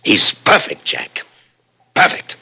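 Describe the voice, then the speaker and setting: An actor playing a cold, obsessive, middle-aged scientist, speaking emphatically and deliberately in a 1960s radio drama. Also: male voice, sharp tone. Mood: proud, fervent. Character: obsessive, cold, commanding scientist father, proud inventor. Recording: vintage radio recording with music behind.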